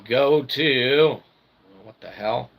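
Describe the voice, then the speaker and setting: A man hosting his channel in a rambling promotional monologue, wordy and pseudo-technical, animated, often tagging his sentences with a check for agreement. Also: mid-range voice, very slow, creaky. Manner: wordy pseudo-technical talk with repeated tag questions. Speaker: channel host.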